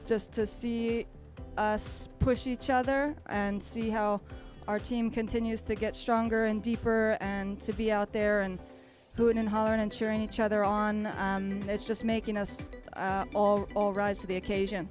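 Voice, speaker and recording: A woman from Australia giving a casual interview as a competing bodysurfer, relaxed and rambling with lots of fillers. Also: medium pitch, moderate pace, hardly any pauses. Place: outdoor beach event. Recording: loud music behind.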